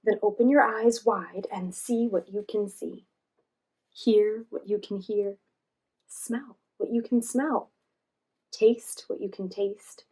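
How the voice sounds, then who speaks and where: A woman voicing a calm, caring female friend in a children's storybook, guiding gently. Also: medium pitch, slightly rough, rough recording. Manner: gentle, slow guiding delivery.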